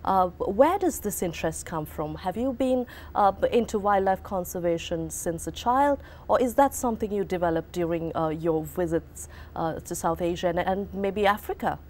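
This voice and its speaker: A woman interviewing a guest on TV, asking measured questions with many fillers. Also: lowish voice, a little mellow, slight background noise.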